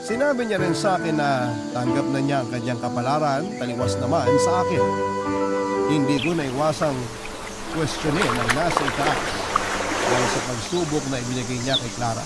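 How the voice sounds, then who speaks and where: A man's voice: mid-range voice, moderate pace. A man giving heartfelt, dramatic first-person narration of a listener's letter. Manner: heartfelt, emotional storytelling. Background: loud music.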